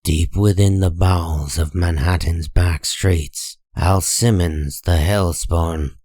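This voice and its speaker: A man reading dramatic comic-book narration in a grave, measured and deliberate manner. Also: low-pitched, fairly even intonation, darkish tone, husky, no echo, studio quality.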